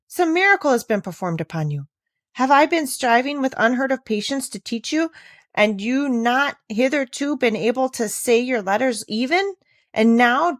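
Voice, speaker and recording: A woman's voice: sits high, no echo, pitched above the performer's own voice. A woman acts out a schoolmaster from a children's storybook. He is long-suffering and incredulous, with formal, pompous, wordy speech, recorded close and dry. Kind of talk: children's storybook read aloud.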